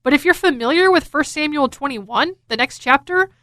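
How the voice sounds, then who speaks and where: A woman's voice: sits high, expressive, normal speed, bright, forceful tone, no room sound. A young American woman talking as a radio DJ in an upbeat, casual, chatty and enthusiastic way, close on a studio microphone. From Florida, United States.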